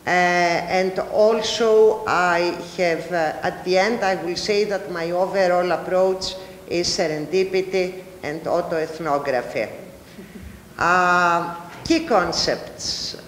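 Halting Greek-accented woman in an echoey hall. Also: on the low side, slight background noise. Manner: halting, many filler pauses. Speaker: university lecturer presenting research. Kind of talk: academic panel presentation. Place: large ballroom, panel table. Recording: echoey hall with long reverb.

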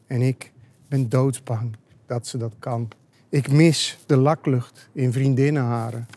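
A man reciting poetry as a poet, deliberately, line by line. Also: mid-range voice, darkish tone.

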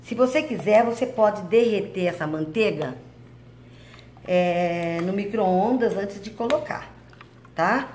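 A woman's voice: mid-range voice, slow pace, a little mellow, roomy sound, slight background noise. A woman hosting a home cooking video, chatting and explaining each step as she mixes dough in her kitchen. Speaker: cooking channel host. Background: clinking utensils and bowls.

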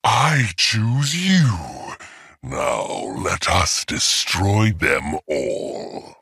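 A man playing a menacing, grandiose alien warlord AI in a video game, with a rough, gravelly voice and a commanding, theatrical delivery. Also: low-pitched, very animated, very slow, sharp tone, no echo, studio quality, heavily acted. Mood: grand, scheming. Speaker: video game announcer character.

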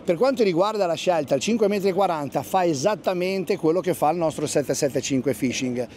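A man's voice: a little high, a little mellow, slight background noise. Enthusiastic man at a marina. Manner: enthusiastic, repeats "assolutamente". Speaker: fishing guide. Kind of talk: interview at a boat show. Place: outdoor marina at a boat show.

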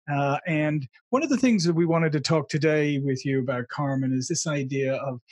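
A man's voice: a little high, no echo. A middle-aged man with an Irish accent, hosting a casual video interview and laughing easily, on a video call from a room with a logo backdrop. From Ireland. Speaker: interview host.

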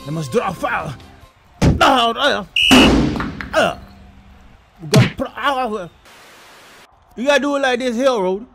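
A man's voice: extremely high pitch, lively intonation, measured pace, gravelly, pauses often, over loud music, full character voice. A man voicing a cocky, whiny Slovenian male basketball star in a comedy dub, exclaiming, taunting and complaining to referees. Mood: whiny, indignant.

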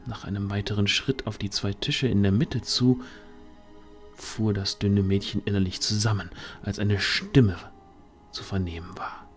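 Low-voiced, unhurried male storyteller. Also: steady tone, faint music behind. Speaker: narrator. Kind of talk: scripted storytelling narration for fantasy audio drama.

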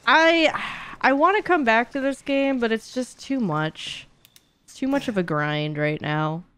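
A woman's voice: fairly high pitch, expressive, a little slow, fairly bright tone, clean tone, dry recording. A young adult woman giving casual, blunt, sweary live-stream commentary while speedrunning a game. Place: small indoor streaming room at home.

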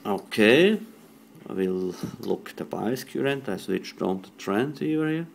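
Slow, low-voiced man giving a technical tutorial. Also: animated, vocal fry, a little hiss or hum. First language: not English. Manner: halting, short phrases. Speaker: electronics hobbyist demonstrating a radio modification. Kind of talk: hands-on technical tutorial, improvised. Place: indoors at a workbench.

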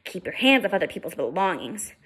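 A woman plays a menacing stranger who speaks slowly and low, half-whispering his threats. The voice stays bright at its core and is not very deep. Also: big pitch swings, husky, full character voice. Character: menacing, angry grown man.

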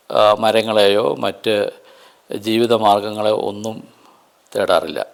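A man presents an unscripted nature explanation in deliberate, halting phrases with emphatic stresses. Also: lowish voice.

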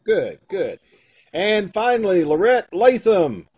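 A man's voice: sits high, big pitch swings, slow pace, a little mellow, husky. A middle-aged man chatting casually as host of an amateur troupe, introducing people over a video call. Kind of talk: casual chat, introducing cast and show. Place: indoor room at home, video call. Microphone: video call microphone.

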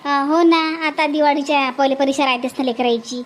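A woman voicing a brisk, caring village woman in a cartoon, chatty and repetitive. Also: high voice, steady tone, close to an everyday voice.

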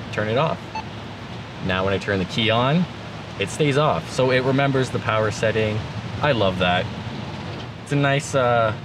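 A man in his thirties with a fairly low voice talks casually through a piece of gear. He sounds easygoing yet keen, chatting to the camera in everyday words, with clear vehicle and outdoor noise behind him. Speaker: vlogger demonstrating vehicle gear. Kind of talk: casual product walkthrough to camera. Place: inside a parked jeep with open door, outdoors.

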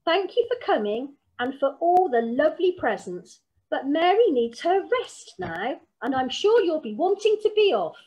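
A woman's voice: sits high, very animated, a little mellow, pitched above the performer's own voice, poor audio. Woman doing a bustling bossy granny. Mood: brisk. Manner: brisk, bustling, fussing. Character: bossy fussing grandmother, fond and brisk.

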